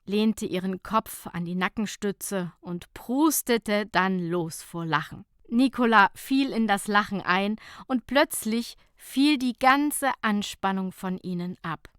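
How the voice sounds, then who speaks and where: A woman narrating a scripted humorous short story as an audiobook, in an expressive storytelling manner. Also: medium pitch, takes it slowly, few pauses, smooth, no echo, clean studio sound.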